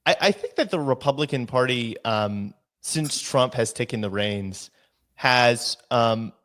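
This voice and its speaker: A young man in his early twenties speaks as an interview guest, his voice sitting at an ordinary middle pitch. He argues earnestly and methodically, building his point through examples. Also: expressive, measured pace, a bit bright. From United States. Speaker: interview guest, party committee member. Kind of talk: conversational political argument.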